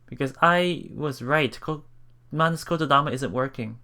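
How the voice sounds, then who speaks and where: A man reading a visual novel aloud for a Let's Play, expressive and dramatic in first-person narration. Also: mid-range voice, moderate pace. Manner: expressive, dramatic, with murmured reactions.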